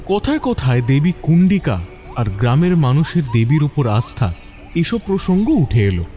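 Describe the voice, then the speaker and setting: Mellow, expressive male story narrator. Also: fairly high pitch, moderate pace, soft background music. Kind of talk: dramatic scripted story narration.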